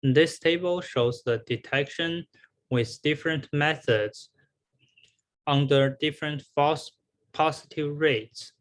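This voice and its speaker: A man giving a scripted academic research presentation in a halting way, with repeated words and pauses. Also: a little high.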